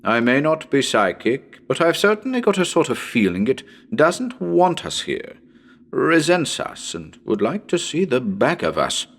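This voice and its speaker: A man's voice: mid-range voice, big pitch swings, a little slow, voice pushed up. A man playing a chatty, wryly uneasy radio broadcaster reporting from a haunted house in a dramatic horror reading. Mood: wry unease. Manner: conversational, wry, hesitant.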